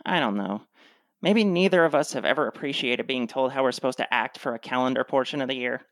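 A woman's voice: very deep voice, quick pace, no room sound. A woman plays a sardonic radio play wife, fed up with her husband. She delivers cutting sarcasm in a dry manner, recorded close with no room sound. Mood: dry, resigned.

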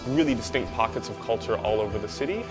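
A man's voice: medium pitch, animated, quick pace. A man from Banff, a film student speaking casually and earnestly in an interview testimonial. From Banff, Canada. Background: music.

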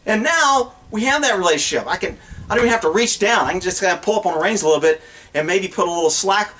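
A man's voice: high-pitched, quick pace. A middle-aged man giving blunt, folksy, off-the-cuff instruction to camera as a horse trainer. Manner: animated, blunt, folksy, acts out imagined dialogue. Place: outdoors on open rural land.

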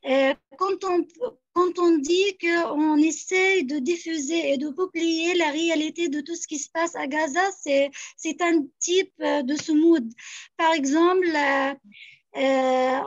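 A woman's voice: very high voice, dry recording. Hesitant young woman on a video call. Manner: hesitant, many fillers and restarts. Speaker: young activist speaking from Gaza. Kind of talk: answering a question on a video call. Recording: dull lo-fi video call audio.

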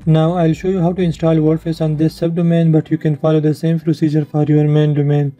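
Very dark-voiced man narrating a tutorial. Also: a little high, level tone, fairly quick. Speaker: tutorial presenter. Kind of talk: instructional screen-share narration. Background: background music.

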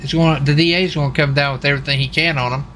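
A man's voice: on the high side, fairly even intonation, very fast, a bit bright. A man chatting casually as a game streamer, rambling and repeating himself in a folksy way. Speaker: live streamer playing a role-play game. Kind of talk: casual chat with viewers and players. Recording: clear background noise, vehicle sounds.

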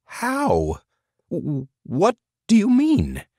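A man doing a weepy high-voiced sleep demon. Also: wildly swooping pitch, drawn-out words, warm tone, dry recording, clean studio sound, full character voice. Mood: eager. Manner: quavering, wailing, high-pitched. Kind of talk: audiobook character voice.

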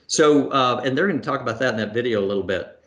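A middle-aged man answering questions in a relaxed conversational way, pausing often with fillers and restarting phrases, over a video call from a room at home. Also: mid-range voice. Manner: thoughtful, many fillers and restarts. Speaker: astronaut guest. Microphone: video call webcam.

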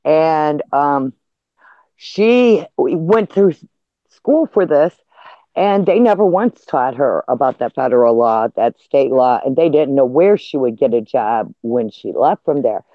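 A man talking casually and a little haltingly as a panelist in a live discussion. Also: mid-range voice, lively intonation, dry recording. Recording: muffled, lo-fi call audio.

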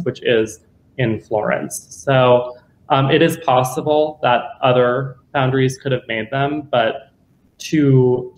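A man's voice: medium pitch, slight background noise. A young man answers questions about his exhibition in a loose, chatty way, full of fillers. He speaks on a video call from a room at home, and the sound is roomy and echoey. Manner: casual, chatty with many fillers. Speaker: guest curator. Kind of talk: answering questions after a lecture.

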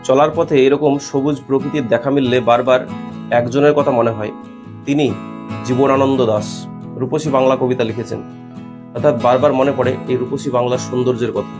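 A man's voice: medium pitch, fairly even intonation. A man narrating a train journey as a travel vlogger, explaining sights like a tour guide. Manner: fast, informative tour-guide telling. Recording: music bed under voice-over. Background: guitar music.

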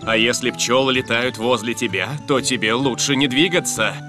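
A man's voice: mid-range voice, normal speed, over music, lightly acted. Man voicing a firm cartoon fire truck robot. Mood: instructive. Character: sturdy, dutiful male fire truck robot. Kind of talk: children's cartoon dub.

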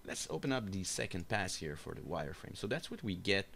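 A man giving an unscripted software screencast tutorial, explaining step by step in a halting, hesitant way. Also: sits low, big pitch swings, vocal fry, a little hiss or hum. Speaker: tutorial instructor. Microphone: voice-over for a screen recording.

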